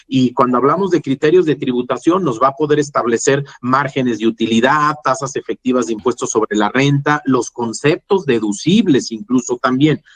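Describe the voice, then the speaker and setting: Man, Mexican accent, medium-pitched voice, expert panelist, explanatory webinar style, frequent tag questions. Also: normal speed, hardly any pauses. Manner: seeks agreement with tag questions. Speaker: webinar panelist, tax litigation specialist. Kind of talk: explanatory professional webinar talk.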